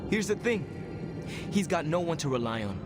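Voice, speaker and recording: An actor playing a brash but insecure young hero in a video game, talking in a casual, slangy, expressive way. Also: male voice, on the high side, normal speed, over loud music. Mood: earnest. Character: eager young vengeance-spirit hero, brash yet insecure. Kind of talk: video game cutscene dialogue.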